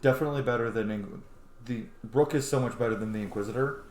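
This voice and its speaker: A man's voice: mid-range voice, fast talker, darkish tone. A man in his thirties giving casual, chatty, sweary gaming commentary as a channel host. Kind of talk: casual let's play commentary. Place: small room at home, indoors. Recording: echoey room with faint noise.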